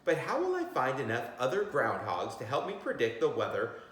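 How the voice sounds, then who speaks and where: A man's voice: medium pitch, wildly swooping pitch, brisk, fairly bright tone. A man voicing an earnest groundhog weather forecaster from a storybook, announcing like a schoolteacher. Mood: pondering. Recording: very echoey, roomy sound.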